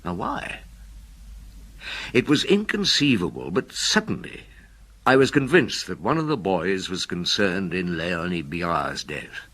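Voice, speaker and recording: An actor playing an older detective who recalls an old case in reflective, wry radio drama narration, with a husky voice. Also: male voice, low voice, big pitch swings, fairly bright tone, exaggerated voice. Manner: reflective, deliberate.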